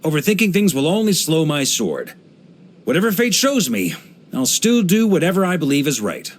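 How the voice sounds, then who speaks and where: An actor playing a hearty middle-aged knight grandmaster in a video game, an easygoing adventurer who talks in a wry, conversational way. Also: male voice, on the high side. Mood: resolute. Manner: relaxed, wry, conversational, with chuckles and musing pauses. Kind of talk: video game character voice lines.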